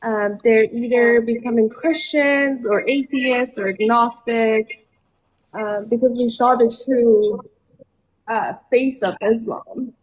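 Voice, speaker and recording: A woman from Iran calls into a live debate podcast. She speaks slowly and hesitantly, filling her pauses with "um", in a slightly high voice. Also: steady tone, poor audio. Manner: hesitant, many pauses and fillers. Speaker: caller. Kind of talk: call-in debate.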